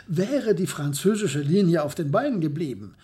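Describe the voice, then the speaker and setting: A man's voice: on the high side, animated, normal speed, mellow, natural voice. An actor playing a clinical, didactic male analyst of battle tactics in a radio drama. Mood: clinical explaining. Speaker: military analyst.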